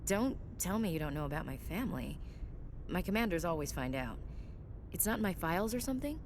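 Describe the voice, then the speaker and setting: An actress playing a proud, defiant young human soldier in a video game, with a blunt, sardonic manner. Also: female voice, big pitch swings, fairly quick. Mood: bitter, proud. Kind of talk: video game dialogue acting. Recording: rumbling background noise.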